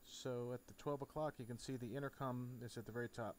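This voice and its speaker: A man's voice: medium pitch, fast. Man giving a casual tutorial, noisy recording. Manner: informal, instructional. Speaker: tutorial presenter. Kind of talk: casual step-by-step tutorial narration over a flight simulator. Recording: clear background noise. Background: steady noise, game sound off.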